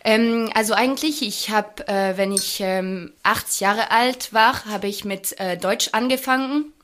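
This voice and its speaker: A woman's voice: mid-range voice, a little slow. French woman speaking German with fillers. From Champagne, France. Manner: warm, hesitant, many fillers. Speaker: guest, champagne brand ambassador. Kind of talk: podcast interview.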